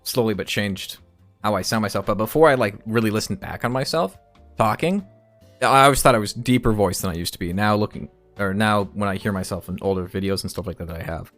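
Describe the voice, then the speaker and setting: A man's voice: on the low side, a little fast, a bit bright, soft background music. A man hosting a casual podcast, talking loosely and swearing as he thinks aloud. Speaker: podcast host. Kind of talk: casual chat.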